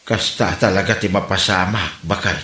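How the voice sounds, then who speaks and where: A man's voice: low-pitched, steady tone, moderate pace, bright, forceful tone. Man playing a scolding old father, echoey room. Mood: admonishing. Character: scolding old village father, exasperated with his son. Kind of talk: comedy drama acting.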